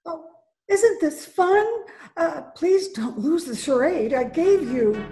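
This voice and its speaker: A woman's voice: sits high, animated, takes it slowly, warm tone, heavily acted. A woman playing a flirty, scheming party guest with sugary playfulness, in 1940s radio style. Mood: coy. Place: home, recorded over video call.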